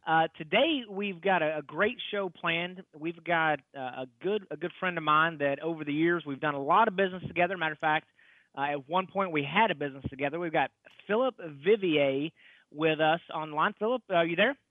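A middle-aged man hosts a radio talk show in an East Texas accent. His voice sits a little high and comes through narrow and tinny, like a phone line. He talks in a folksy, halting way, doubling back on his words. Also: no echo. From East Texas, United States.

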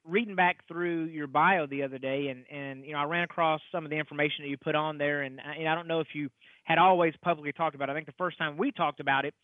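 A man's voice: a little high, steady tone, no room sound. Tinny-sounding East Texas male radio host. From East Texas, United States. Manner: folksy, halting, repeats words. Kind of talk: casual radio talk show hosting.

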